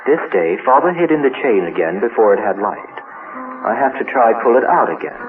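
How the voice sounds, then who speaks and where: A man's voice: medium pitch, expressive, a little fast, over music, lightly acted. A man voicing a chained, hurt monster child in a horror monologue, telling its story in simple, broken childlike sentences. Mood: hurt, bewildered. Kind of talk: first-person dramatic horror monologue. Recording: noisy, tinny narrow-band radio-like sound.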